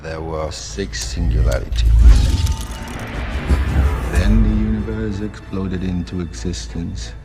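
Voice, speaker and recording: An eccentric cosmic collector recounts ancient lore in a film. The voice is very deep and unhurried, portentous in manner, with loud music swelling behind it. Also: male voice. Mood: grave, mysterious. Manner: slow, portentous storytelling.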